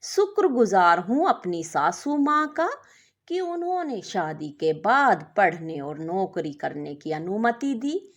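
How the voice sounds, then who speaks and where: A woman storyteller voicing a modest, contented young working wife, speaking gently and earnestly. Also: mid-range voice, sing-song, slow. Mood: modest, resigned.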